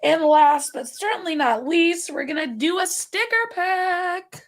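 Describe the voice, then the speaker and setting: Raspy-voiced bubbly woman podcast host. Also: very high voice, lively intonation, unhurried. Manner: bubbly, chatty, many fillers. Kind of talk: casual live-stream chat.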